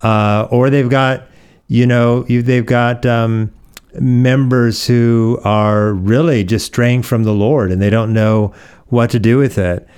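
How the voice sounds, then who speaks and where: A middle-aged American man from Oregon, a pastor being interviewed, explaining things conversationally with thoughtful, measured pauses. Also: mid-range voice, steady tone, a little mellow, clean tone. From Oregon, United States.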